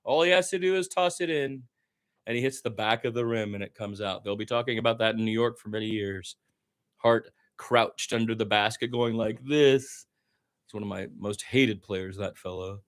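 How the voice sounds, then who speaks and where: A man's voice: lowish voice, moderate pace, clean tone. A man doing live radio-style basketball play-by-play as a partisan fan, with exasperated asides about his team.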